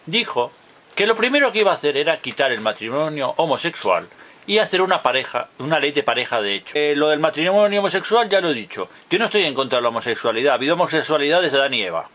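Bright-voiced man in his fifties, insistent. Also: a little high, big pitch swings, fast talker. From Barcelona, Spain. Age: 50s. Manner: emphatic, insistent, repeats his points. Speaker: video blogger. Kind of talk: opinionated monologue to camera. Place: indoors in front of a blue wall.

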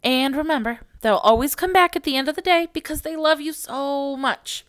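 Sweet encouraging preschool teacher lady, high n sing-songy, kids book read by a woman. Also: moderate pace, no room sound, studio quality, natural voice. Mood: tender reassurance. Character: kind, reassuring, upbeat female preschool teacher. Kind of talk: picture book character reading.

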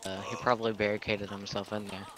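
A man's voice: lowish voice, level tone, fast. A man talking casually with teammates while playing an online roleplay game. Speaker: video game player. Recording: noisy game voice chat with clicks.

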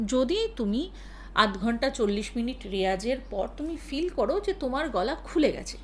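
A woman, a singing teacher calmly explaining practice routines to her students. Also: fairly high pitch, normal speed, slight background noise. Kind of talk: casual spoken lesson.

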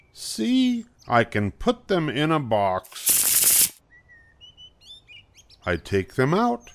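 An older man voicing an eager, showy spotted animal in a picture book, with slow, emphatic, singsong delivery. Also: medium pitch, noisy background. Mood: proud. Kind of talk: picture book read-aloud.

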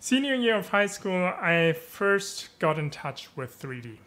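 An easygoing man from Germany, German his first language, chats over a headset from home on a video call. His voice sits a little high for a man, and he laughs and says "right?" often. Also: sing-song, unhurried, pushed and bright. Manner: easygoing, chuckles often, many fillers and tag questions. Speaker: guest. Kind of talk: casual interview chat.

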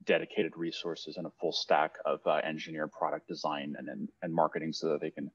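A man from Los Angeles co-hosting a webinar, explaining in a relaxed, halting way with repeated words. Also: extremely low pitch, slightly rough, dry recording. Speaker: webinar co-host. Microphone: video call. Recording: dull, dry online call audio.

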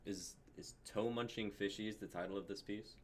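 Deep voiced young guy hosting a stream, some noise and typing behind him. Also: fairly even intonation, normal speed. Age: young adult. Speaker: host. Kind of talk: casual live stream hosting.

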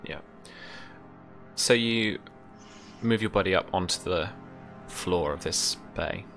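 A young man working as game master, narrating a tabletop scene in a casual, halting, descriptive way. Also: sits low, a bit bright. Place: indoor room, remote session. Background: music.

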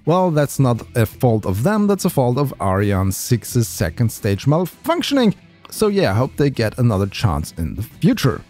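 An enthusiastic man tells a space story in a scripted vlog voice-over. His pitch rises and falls a great deal as he narrates. A little music plays softly beneath him. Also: wildly swooping pitch, moderate pace. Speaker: space video creator.

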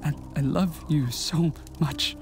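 A man's voice: fairly high pitch, takes it slowly, soft background music. A man playing an anguished, lovelorn possessed figure in a horror audio drama, stammering and repeating words. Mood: yearning.

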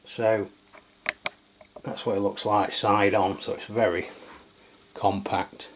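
A man's voice: fairly low pitch, flat delivery, slow. A middle-aged man giving a casual, unscripted product demonstration, thinking aloud in a tentative way. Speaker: radio product reviewer. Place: indoors at a tabletop. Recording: clear background noise, room ambience.

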